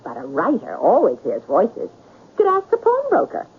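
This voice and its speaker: An actress playing a romantic yet practical woman in a mystery radio drama, coaxing and questioning in a husky voice. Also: medium pitch, huge pitch swings, normal speed, slight background noise. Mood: coaxing, curious. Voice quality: husky, breathy. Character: romantic, practical, gently prodding female listener of writer's tale. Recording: narrow tinny radio broadcast.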